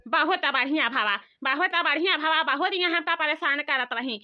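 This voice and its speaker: A woman's voice: high voice, moderate pace, natural voice. A woman playing a grumbling, hungry old village mother-in-law in a comic cartoon, rambling and complaining with an Awadhi accent. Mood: scolding, exasperated. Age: older. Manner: complaining, rambling rustic talk. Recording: dull lo-fi sound.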